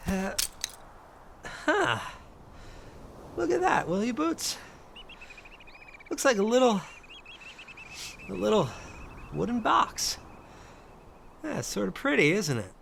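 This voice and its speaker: An actor playing a haunted, curious solitary man in an audio drama, halting and gravelly, as if thinking aloud. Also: high-pitched, sing-song, fairly bright tone, slight background noise, full character voice. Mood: curious, musing. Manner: hesitant, halting, murmuring to himself. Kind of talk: first-person dramatic narration with in-scene dialogue.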